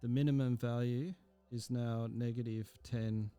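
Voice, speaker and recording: Flat mellow guy teaching a music app, talks it through step by step, lots of ums. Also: mid-range voice, unhurried, clean tone. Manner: thinking aloud step by step, with frequent ums. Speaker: music technology instructor. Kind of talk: screen-recorded software tutorial.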